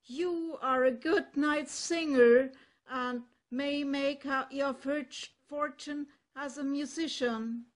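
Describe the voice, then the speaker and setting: An older woman with a German accent voicing a kindly, bossy male donkey who talks loudly and heartily. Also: sits high, steady tone, slow, voice pushed up. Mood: hearty. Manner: loud, hearty invitations.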